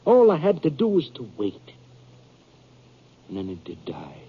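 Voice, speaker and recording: An actor playing a bitter, brooding western outlaw in a 1950s radio drama, speaking in halting, rustic, confessional fashion. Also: male voice, fairly high pitch, huge pitch swings, slight background noise. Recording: dull 1950s radio recording.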